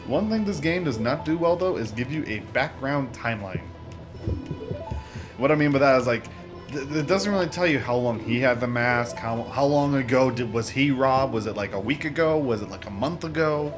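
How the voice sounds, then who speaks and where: A man's voice: mid-range voice, normal speed. Young male gamer host, loose rambly commentary, game music underneath. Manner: rambling, excitable, lots of fillers and self-corrections. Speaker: gaming channel host. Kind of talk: casual let's-play commentary. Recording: game music playing behind voice. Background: video game music.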